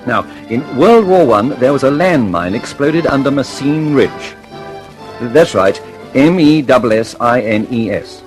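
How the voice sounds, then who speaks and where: Actor as determined British engineer, very animated. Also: male voice, mid-range voice, unhurried, faint music behind, natural voice. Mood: urgent, businesslike. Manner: urgent, insistent, stammering slightly when flustered. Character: harried, determined British male aircraft engineer and inventor. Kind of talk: mid-century radio drama acting.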